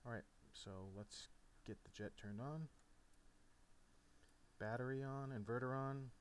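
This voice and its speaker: A man's voice: mid-range voice, unhurried. A man presenting an informal step-by-step game tutorial in a casual, instructional way. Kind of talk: casual step-by-step tutorial narration over a flight simulator. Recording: clear background noise. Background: steady noise, game sound off.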